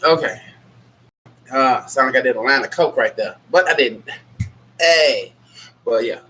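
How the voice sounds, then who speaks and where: A man's voice: mid-range voice, unhurried, sharp tone, husky, poor audio. Stuffy-nosed man chatting on a livestream. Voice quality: nasal. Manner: rambling, candid and blunt, reads out chat names. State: sick with a stuffy nose and scratchy throat. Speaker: livestream host. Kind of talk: casual live Q&A chat.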